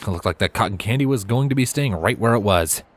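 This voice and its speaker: A man's voice: on the low side, expressive, fast talker, no echo. A man reading a story aloud as narrator, in scripted storybook narration. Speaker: narrator, fan fiction reader.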